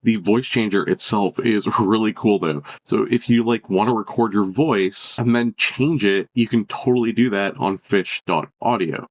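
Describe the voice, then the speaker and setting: A man with a fairly low voice presents a tech tutorial. He talks informally and off the cuff, with a chatty, enthusiastic manner as he explains each step. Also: normal speed, few pauses. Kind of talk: casual unscripted software walkthrough.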